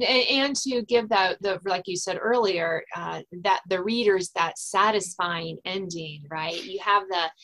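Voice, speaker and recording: A woman's voice: medium pitch, a bit bright, poor audio. A woman hosting a podcast in a conversational interview style. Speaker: podcast host and author.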